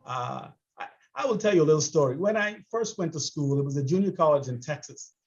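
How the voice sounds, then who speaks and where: A man in his sixties from the Bahamas with a Bahamian accent, telling a story as a panel guest, animated and often repeating his words. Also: on the high side, a little fast. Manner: animated, repeats words, laughs between phrases. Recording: muffled lo-fi audio.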